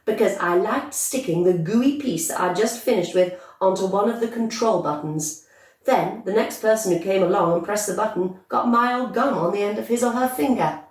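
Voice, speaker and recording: A woman voicing a brash, boastful, bossy young girl in a storybook, with loud, emphatic, excitable chatter. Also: medium pitch, normal speed, voice pushed up, close to an everyday voice. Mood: bragging, excited. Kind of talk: storybook character voice. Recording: echoey room, distant microphone.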